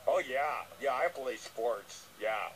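A man's voice: mid-range voice, expressive, slow. A man voicing a nervous, earnest, dim-witted llama who hesitates and repeats himself on a comic radio call. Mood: flustered boasting. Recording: noisy re-recording of radio playback.

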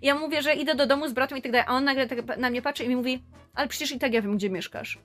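High-voiced young woman telling a story. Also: rapid-fire, soft background music. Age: young adult. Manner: animated, chatty, gesturing with her hands. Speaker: vlogger. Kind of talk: storytelling vlog to camera. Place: small indoor room.

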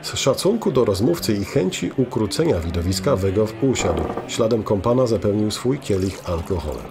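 A man's voice: on the low side, lively intonation, moderate pace, background noise. A man narrating a scripted fantasy audio drama as its storyteller. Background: music behind the voice.

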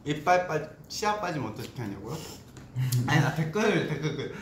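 A man's voice: fairly high pitch, sing-song, normal speed, a little hiss or hum. A young man chatting on a livestream with bandmates, teasing and laughing easily as he complains. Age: young adult. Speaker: idol group member on livestream. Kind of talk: casual livestream chat. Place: small indoor room at a table. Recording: roomy room echo.

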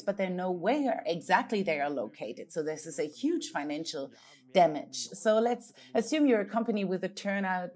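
A woman interpreting a conference talk into English, measured and steady, with frequent hesitations and self-corrections. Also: on the low side.

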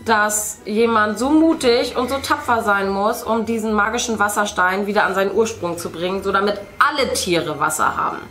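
A woman's voice: mid-range voice, normal speed, hardly any pauses, a bit bright, faint music behind. A middle-aged woman hosting a children's craft video, casually explaining things to young viewers. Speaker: host of a children's craft channel. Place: indoor room, seated at a craft table.

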